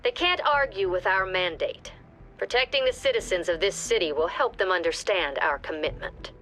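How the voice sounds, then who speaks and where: An actress playing a composed woman commander, giving scripted mission briefings with measured authority in a tactical game. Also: mid-range voice, animated, fairly bright tone, natural voice. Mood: composed, authoritative. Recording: background music under voice.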